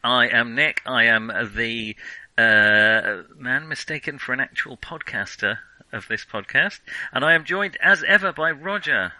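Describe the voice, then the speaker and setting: A man hosting a podcast and casually discussing films, in a halting, hesitant way that often doubles back on itself. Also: medium pitch, lively intonation, pushed and bright, no room sound, low-quality recording. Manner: halting, hesitant, frequent self-interruptions. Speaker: podcast host.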